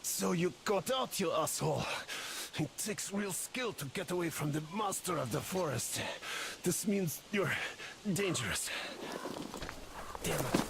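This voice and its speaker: An actor playing a bitter male forest hunter who mutters slowly to himself. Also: a little high, expressive, vocal fry, a little hiss or hum.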